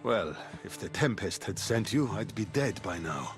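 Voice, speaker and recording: Proud, sardonic inventor, lowish voice. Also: male voice, expressive, normal speed, over music. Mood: grave, proud. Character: irritable, proud male engineer-inventor in ancient Greece. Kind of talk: video game cutscene acting.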